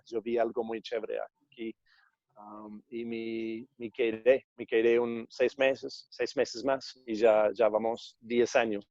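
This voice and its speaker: Mellow-voiced man with an English-speaker's accent. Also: mid-range voice, no room sound, dull audio. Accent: Australian-American English speaker of Spanish. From Australia. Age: 30s. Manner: halting, many fillers and restarts. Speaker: guest, entrepreneur. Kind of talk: casual interview answers.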